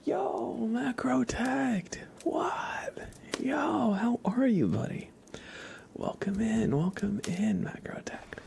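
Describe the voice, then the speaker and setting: A man hosting an ASMR livestream, chatting softly and mostly in whispers close to the microphone. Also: extremely high pitch, sing-song, vocal fry, a little hiss or hum. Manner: slow, soft, mostly whispered.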